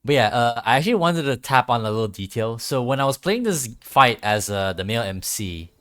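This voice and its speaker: A man's voice: medium pitch, lively intonation, brisk, a bit bright, clear, resonant tone. A man giving casual livestream commentary on a game, talking hesitantly with lots of filler words.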